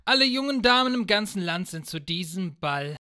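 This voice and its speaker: An actor playing a formal royal messenger making proclamations in a fairy-tale cartoon. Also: male voice, high voice, lively intonation, moderate pace. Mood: proclaiming. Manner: formal, announcing.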